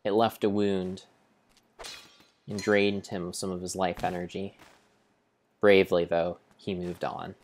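Very low-voiced man narrating like a storybook. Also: flat delivery, a little hiss or hum. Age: young adult. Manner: mock-grand, deliberate, halting delivery. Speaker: game streamer. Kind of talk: improvised dramatic storybook narration of gameplay. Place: indoor room at home.

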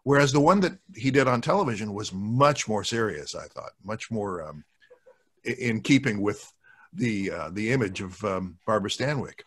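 A man in his sixties talking as a podcast guest in a casual, thoughtful, halting way, close to a desk microphone at home. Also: fairly low pitch, lively intonation, a bit bright, slightly rough. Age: 60s. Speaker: podcast guest, radio show producer. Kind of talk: casual discussion of old radio and film. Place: home room, video call. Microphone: large desk microphone, close.

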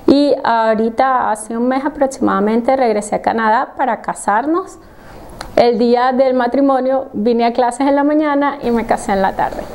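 A young woman from Venezuela with a Venezuelan Spanish accent, giving a personal testimonial to camera in an indoor room. She talks in an unhurried, hesitant way with many soft fillers. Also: on the high side. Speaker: doctor and former language student. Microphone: medium shot from a fixed camera.

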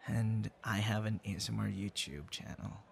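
A man's voice: fairly low pitch, vocal fry, slight background noise. A man acting a tender, secretive young man on a date in an ASMR boyfriend roleplay, easily flustered. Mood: flustered, defensive. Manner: slow, intimate, with halting stammers when flustered.

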